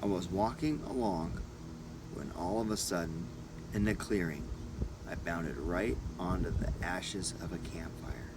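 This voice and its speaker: A man narrating a first-person adventure story as an audiobook reader, in short deliberate phrases. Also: low voice, heavy background noise.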